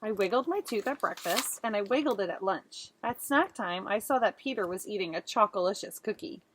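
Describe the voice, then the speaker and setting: A woman's voice: mid-range voice, fairly quick, background noise. A woman teacher reading a picture book aloud expressively for young children. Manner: expressive, addressing child listeners.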